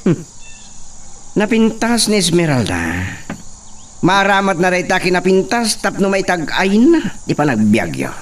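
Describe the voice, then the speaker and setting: An actor playing a proud, confident young son of a rich landowner, recorded from a distance over faint insect noise. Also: male voice, high-pitched, sing-song, measured pace, long pauses. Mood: persuasive.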